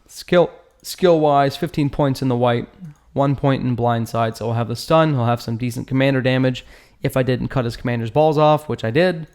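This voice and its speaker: A man's voice: medium pitch, a little mellow. A man hosting a gaming video, talking through a build in an informal, slangy way with joking asides and frequent check-in questions. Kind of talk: casual gameplay build breakdown commentary.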